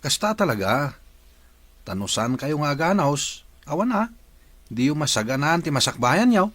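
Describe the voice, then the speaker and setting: A man's voice: a little high, sing-song, moderate pace, a bit bright, no room sound. A man with an Ilocano accent acting a sombre, sympathetic villager in a radio drama.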